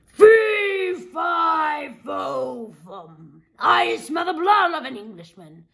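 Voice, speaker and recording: A woman's voice: high-pitched, animated, very slow, gravelly, pitched above the performer's own voice, full character voice. Woman doing a bellowing greedy ogre. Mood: menacing. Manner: bellowing, shouting. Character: huge bellowing male ogre, greedy and gullible.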